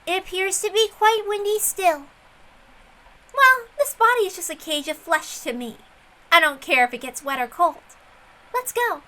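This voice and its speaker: A woman's voice: very high voice, moderate pace, bright, forceful tone, voice pushed up, poor audio. A woman voicing a smug, scornful young woman detective with mock-polite manners in a visual novel reading. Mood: brisk, cool. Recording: music under the voice.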